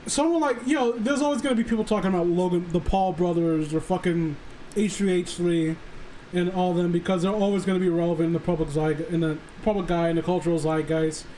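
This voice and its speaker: A high-voiced man in a loose livestream chat. He talks in halting, rambling runs, restarting his thoughts and cursing freely, with a little noise behind him. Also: mellow, poor audio. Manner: halting, rambling, often swearing.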